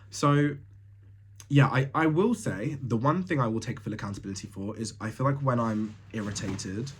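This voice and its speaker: A man's voice: lowish voice, breathy edge. A young man from Tanzania hosting a solo podcast, talking casually and bluntly, laughing often with lots of fillers. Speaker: podcast host.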